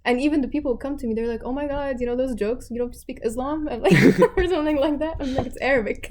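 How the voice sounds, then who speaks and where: A woman's voice: sits high, fast, background noise. A young woman from Abu Dhabi, a guest on a podcast, chatting casually and acting out the people in her stories. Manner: animated storyteller, acts out quoted voices. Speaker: guest, tennis player.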